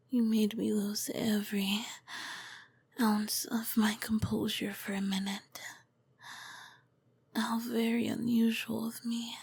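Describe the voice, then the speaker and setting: A woman voicing a charming, commanding vampire queen in a slow, breathy, seductive whisper for an intimate ASMR roleplay. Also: mid-range voice, a little mellow, no echo, exaggerated voice. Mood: apologetic, tender.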